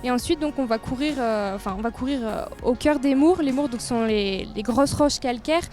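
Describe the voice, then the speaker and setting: Woman, somewhat high voice, enthusiastic, informal promotional interview, soft music in background. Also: moderate pace. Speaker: tourist office representative being interviewed. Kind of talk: informal promotional interview, describing local trails.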